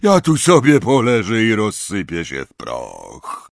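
A man's voice: lowish voice, huge pitch swings, takes it slowly, creaky, dry recording, clean studio sound, heavily acted. A man voicing a broken stone gargoyle in an audiobook, weak and moaning, with a plaintive, resigned manner. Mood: feeble resignation. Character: toppled stone gargoyle, ugly, feebly self-pitying. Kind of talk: audiobook character voice.

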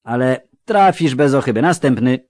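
A man voicing a gruff, bored male army recruiting officer who snaps out curt questions. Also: medium pitch, expressive, normal speed, a little mellow, no echo, studio quality, pitched above the performer's own voice, natural voice. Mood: brusque.

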